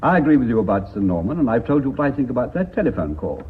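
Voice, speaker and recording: Actor as dry, quick British board member. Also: male voice, medium pitch, sing-song, lightly acted. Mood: agreeable, brisk. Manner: formal, dryly polite. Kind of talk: British radio play acting. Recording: thin phone-like radio recording, faint noise.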